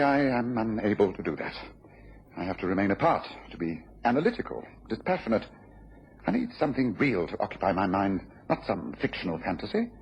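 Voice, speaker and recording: An actor playing a restless, analytical gentleman detective in a radio drama, theatrical and precise. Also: medium pitch, close to an everyday voice. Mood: bored, restless. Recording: dull lo-fi radio recording, faint noise.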